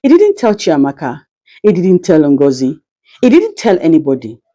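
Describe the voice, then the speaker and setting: A woman's voice: deep voice, huge pitch swings, normal speed, warm tone, highs cut off. A woman narrating a folktale as a scripted, dramatic storyteller who speaks straight to her listeners. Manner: addresses listeners directly, proverbial.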